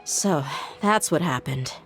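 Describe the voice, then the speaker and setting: A woman's voice: deep voice, expressive, slow pace, fairly bright tone, soft background music, full character voice. A woman playing a tough, guarded young mercenary in a video game, speaking bluntly and with frustration. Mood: guarded, bitter. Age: young adult. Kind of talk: scripted game dialogue.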